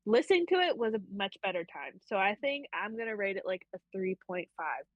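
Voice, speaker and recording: A woman's voice: medium pitch, sing-song, a little fast, no echo. Young woman, rambling book-club chat, giggly, dry dull stream audio. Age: young adult. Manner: giggly, rambling, self-deprecating. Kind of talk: casual chat.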